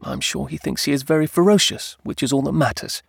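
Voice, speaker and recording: A spirited young countess, as a male narrator puts her on in an audiobook. The pitch is lifted well up, the manner sweet, earnest and insistent. The sound is dry. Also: fairly quick, vocal fry, slightly rough, no echo, exaggerated voice. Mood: sweet, apologetic. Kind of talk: audiobook character dialogue.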